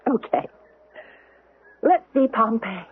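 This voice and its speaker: Sad young widow in old radio play, hesitant n emotional, husky, thin muffled broadcast sound. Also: female voice, a little high, lively intonation, takes it slowly, faint music behind, exaggerated voice. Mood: subdued. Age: young adult. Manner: emotional, hesitant, dramatic delivery. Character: grieving young widow, a wistful history teacher. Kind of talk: old-time radio drama acting. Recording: muffled, band-limited 1970s radio broadcast.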